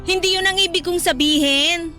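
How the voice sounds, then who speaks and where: Woman doing a snobby money-minded young filipina bestie, super high bright voice, sassy radio drama style. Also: steady tone, normal speed, faint music behind. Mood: scornful, irritated. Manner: sassy, dismissive, slangy. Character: haughty, materialistic young woman, scornful best friend.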